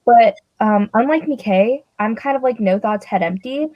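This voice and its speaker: A woman's voice: on the high side, moderate pace, no echo, highs cut off. A young woman, a book vlogger, chatting casually in a rambling, self-deprecating way with many fillers. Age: young adult. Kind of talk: casual chat.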